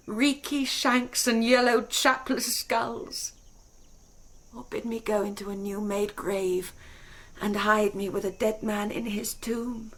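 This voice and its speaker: A slow, desperate young British noblewoman. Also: on the high side, a bit bright. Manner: intense, dramatic, sometimes breaking into whispers. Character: desperate, passionate young noble girl in love. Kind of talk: classical Shakespearean radio acting.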